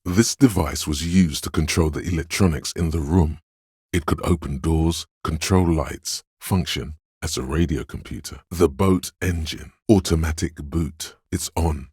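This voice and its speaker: Very deep, husky, grave game narrator. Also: male voice, a little mellow, no echo, studio quality, heavily acted. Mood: measured, matter-of-fact. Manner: measured, deliberate, literary, dramatic pauses. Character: grave, wry inner-voice narrator of a detective game. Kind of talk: scripted game narration, second person.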